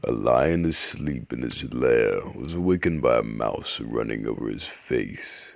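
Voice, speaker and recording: Man putting on a very deep, gravelly old storyteller. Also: lively intonation, measured pace, a little mellow, no echo, heavily acted. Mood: solemn, unhurried. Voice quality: raspy. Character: old man narrator telling classic animal fables. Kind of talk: slow storybook narration.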